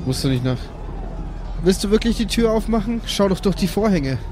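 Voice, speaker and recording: A man's voice: high-pitched, expressive, brisk, music in the background. A man playing casually in an improvised tabletop game, with a dry, blunt way of talking. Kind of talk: improvised casual in-character play.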